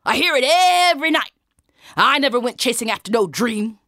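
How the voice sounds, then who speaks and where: A woman storyteller puts on a blustering, scornful soldier guarding a bridge in a folktale. He speaks loudly and emphatically, with pitch swinging high as he barks, in a close, dry sound. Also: lively intonation, normal speed, no echo. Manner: emphatic, blustering, barking commands. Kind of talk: theatrical oral storytelling.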